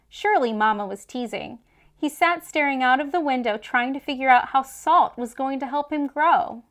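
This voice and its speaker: Woman, high voice, children's read-aloud host, expressive narration.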